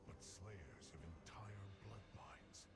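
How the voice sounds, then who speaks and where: An actor playing a solemn, cautious male dragon in a video game, with a gravelly voice and a grave, formal way of speaking. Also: deep voice, takes it slowly, loud music behind the voice. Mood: grave, urgent. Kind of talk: video game quest dialogue.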